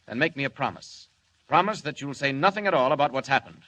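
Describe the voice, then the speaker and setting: Superhero, bright forceful voice, sweeping intonation, grave, formal, vintage 1940s radio drama. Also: male voice, medium pitch, expressive, quick pace, low-quality recording. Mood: grave, earnest. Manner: formal, declamatory. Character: noble alien superhero, grave, earnest, formal young man.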